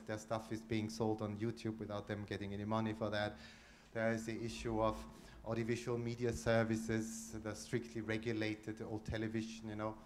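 A man's voice: on the low side, slight background noise. A man in his forties from Austria, speaking as a panelist in a conference room, restarting phrases often. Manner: speaks with frequent restarts and fillers, gestures while talking. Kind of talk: roundtable remarks. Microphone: handheld microphone.

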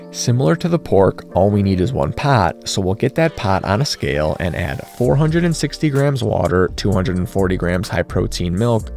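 Deep gravelly man narrating a recipe. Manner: steady instructional narration. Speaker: cooking channel host. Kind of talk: scripted recipe voice-over.

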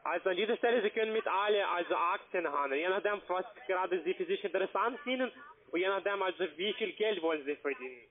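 A high-voiced man speaks with a foreign, non-native accent. He sells investments over a thin, tinny phone connection, stumbling politely through broken phrasing in a formal sales pitch. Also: animated, quick pace. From Slovakia. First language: not German. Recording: narrow-band, tinny phone line.

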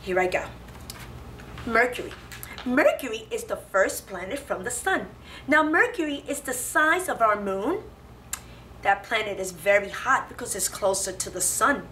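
A woman, perhaps in her thirties, a preschool teacher talking to small children in an animated, simple way with lots of questions. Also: a little high, a little slow, slight background noise. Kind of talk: children's lesson and read-aloud. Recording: roomy, echoing, distant microphone, faint music.

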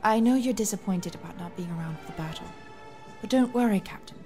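Woman acting as a rebel leader, mellow, medium-pitched, formal, composed, audio drama, light music behind. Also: fast talker, lightly acted. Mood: composed, courteous.

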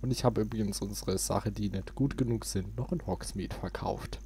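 A man's voice: fairly low pitch, normal speed, dark tone, a little hiss or hum. A man giving casual gameplay commentary as a let's play host.

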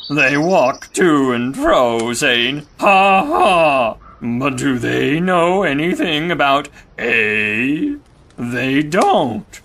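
A man's voice: on the high side, very animated, extremely slow, fairly bright tone, heavily acted. A man playing a gloomy, self-important old male donkey in an audio drama, speaking with sarcasm and pomposity. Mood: indignant, proud.

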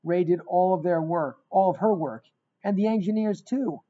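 A middle-aged man reading a rhyming picture book aloud in an expressive, emphatic storytelling manner. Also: high voice, moderate pace. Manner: emphatic, dramatic storytelling with repeated phrases. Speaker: guest reader of a children's book. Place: small indoor room. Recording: dull, lo-fi webcam sound.

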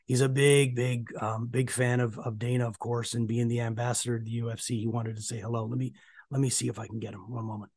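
A man playing an elderly film actor, polite and halting, repeating himself on a prank phone call. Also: medium pitch, level tone, darkish tone, dry recording, clean studio sound, close to an everyday voice. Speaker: radio prankster. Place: small radio studio.

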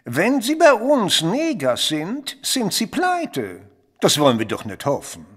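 A shrewd, jovial army officer with a Viennese accent in an audio drama. He draws words out slowly with hugely animated melody. His manner is teasing, theatrical and pleased with himself. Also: sits high, breathy edge, exaggerated voice. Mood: teasing, amused. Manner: teasing, theatrical, self-satisfied.

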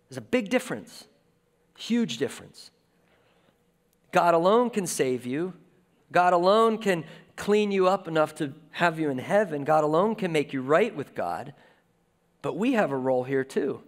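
A man in his forties preaching a sermon from a church stage, in a conversational style. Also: on the high side. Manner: conversational preaching with lively gestures. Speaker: pastor. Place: church stage with a lectern and guitars. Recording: reverberant church hall.

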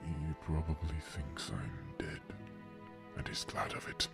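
Gravelly, very deep weary old dragon. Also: male voice, animated, music in the background, heavily acted. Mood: bitter, weary. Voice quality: gravelly, breathy. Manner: slow, heavy, halting. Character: weary old male dragon cursed with magic, resigned.

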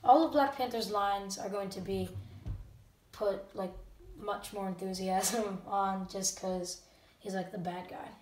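A channel host talking casually and unscripted to viewers at home, a bit halting and often self-correcting. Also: medium pitch, fairly even intonation. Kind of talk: casual unscripted talk to camera audience. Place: indoor room at home. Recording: roomy, distant microphone.

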